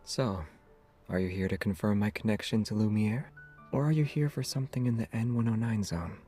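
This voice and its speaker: A calm, secretive young hunter from a story game, played by a man, with a deep, mellow voice. He is reserved and nonchalant, taking his time over soft music. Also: a little fast, natural voice. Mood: calm, probing. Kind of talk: scripted game dialogue.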